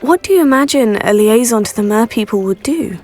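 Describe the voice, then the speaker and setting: Woman doing student witch heroine for a game, slightly high voice, polite, curious, faint music under it. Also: lively intonation, a little fast, a little mellow, natural voice. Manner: polite, inquisitive. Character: helpful, modest young heroine, a student witch. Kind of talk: video game dialogue acting.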